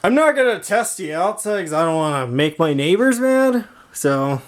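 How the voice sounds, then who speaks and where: A man's voice: high-pitched, very animated, normal speed. A man giving a casual, informal walkthrough demonstration as a video host, rambling in stop-start phrases. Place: home rooms and workshop. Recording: clearly noisy room with clicks.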